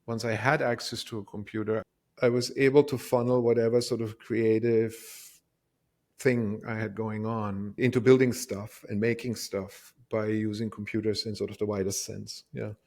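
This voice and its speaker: A middle-aged man with a German accent speaks in a low voice. He talks casually and reflectively as a guest, circling back over his words in a rambling, self-deprecating way. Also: level tone, normal speed, a little mellow. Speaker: interview guest, tech company co-founder. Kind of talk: casual reflective interview talk.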